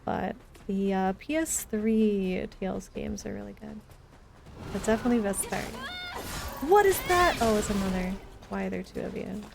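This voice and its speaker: A woman's voice: medium pitch, moderate pace, vocal fry, a little hiss or hum. A woman live streaming a video game, chatting casually and answering her viewers as she plays. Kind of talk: casual live stream chat while playing. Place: indoors, live game stream with music behind.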